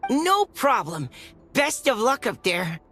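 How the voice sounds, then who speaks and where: A woman's voice: a little high, sing-song, slow, a bit bright, heavily acted. A woman voicing a polite, helpful soldier in an anime game. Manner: polite, obliging. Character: polite, helpful soldier agent attending an elevator. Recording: music behind the voice.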